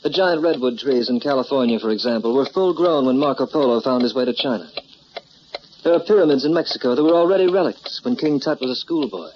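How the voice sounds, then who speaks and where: Portentous 1940s radio storyteller, male actor, medium-pitched and measured, dull vintage sound. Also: lively intonation, natural voice. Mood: solemn. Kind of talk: 1940s radio scripted narration. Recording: muffled, lightly noisy old radio recording.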